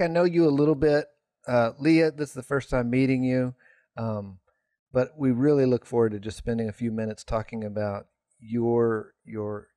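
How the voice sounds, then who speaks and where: A man co-hosting a podcast and leading the interview gently, with halting phrasing and restarts. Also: mid-range voice, no echo, studio quality. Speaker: podcast co-host and therapist. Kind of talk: interview conversation.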